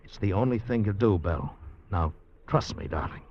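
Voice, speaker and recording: An actor playing a scheming, greedy man in a vintage radio drama, in a hard-boiled confessional and smoothly persuasive way. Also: fairly low pitch, animated, normal speed, natural voice. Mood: controlling, urgent. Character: scheming, greedy man narrating his murderous affair. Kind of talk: 1940s-50s radio drama acting. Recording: dull vintage radio recording with music.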